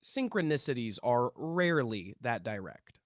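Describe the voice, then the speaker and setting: A man's voice: mid-range voice, very animated, moderate pace, no room sound, studio quality, natural voice. A man playing an intense, earnest young amateur paranormal investigator in a script read, self-important and conspiratorial. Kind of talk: table read of a TV pilot script.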